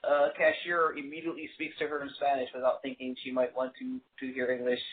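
A man's voice: mid-range voice, completely flat delivery, normal speed. A man hosting an interview, asking friendly, rambling questions in a casual chat. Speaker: interview host. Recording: tinny phone-line sound.

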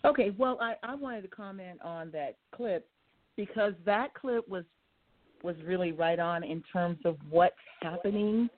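A soft-spoken woman calling in, giving earnest, rambling commentary with many restarts. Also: mid-range voice, a little slow, no echo. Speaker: caller. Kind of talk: call-in commentary. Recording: tinny phone line.

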